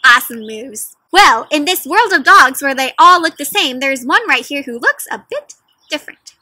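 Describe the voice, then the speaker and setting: Bright, high-voiced young woman reading to children. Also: normal speed, dry recording. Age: young adult. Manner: animated teacherly questioning, addressing young children directly. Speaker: children's read-aloud host. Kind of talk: interactive picture book read-aloud for young children. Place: outdoors in a leafy garden.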